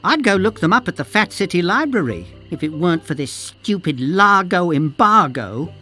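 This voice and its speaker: Cagey mapmaker woman in a pirate game, animated, chatty, bit of music behind. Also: fairly low pitch, normal speed, full character voice. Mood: wistful. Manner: chatty, conspiratorial storytelling. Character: cagey, knowing woman cartographer on a pirate island. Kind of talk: video game dialogue acting.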